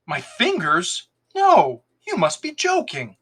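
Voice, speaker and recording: A man's voice: sits high, huge pitch swings, takes it slowly, a bit bright, voice pushed up, exaggerated voice. A man voicing a wary, easily irritated hunter with a skeptical, indignant manner in a storybook reading.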